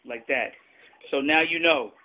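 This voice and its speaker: A man's voice: on the high side, measured pace. A father patiently coaching his son through a repair job at home, filmed on a handheld camera. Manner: patient, coaching, giving short instructions. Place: indoors at home. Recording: tinny, lo-fi, faint noise.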